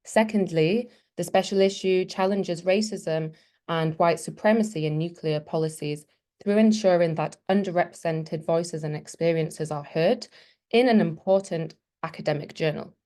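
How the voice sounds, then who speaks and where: A woman with a fairly low voice delivers a prepared academic talk. She moves through her points in a measured, formal way, setting them out one by one. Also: dark tone. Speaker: webinar presenter.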